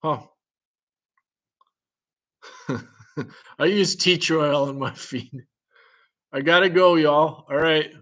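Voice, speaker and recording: A man's voice: a little high, drawn-out words, long pauses, fairly bright tone. Man rambling in a chatty, curious way. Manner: rambling, thinking aloud, curious; chuckles often between remarks. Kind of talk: casual chat.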